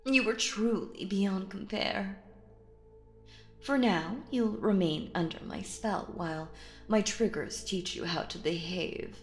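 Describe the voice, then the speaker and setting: A woman performs as a sinister, doting female magician in an audio drama, speaking slowly and coaxingly. Her theatrical delivery slips into breathy whispers, possessive and controlling. The voice rings with echo over faint music. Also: mid-range voice. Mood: tender, possessive. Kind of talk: roleplay audio drama, intimate hypnotic monologue.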